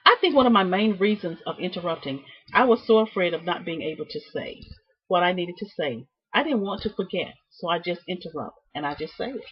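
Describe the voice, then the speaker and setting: A woman giving a prepared student presentation, reading from notes with deliberate, emphatic phrasing and halting repeats. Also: lowish voice, lively intonation, husky. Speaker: student presenting a class project. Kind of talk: prepared speech, read from notes. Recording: dull, muffled lo-fi sound.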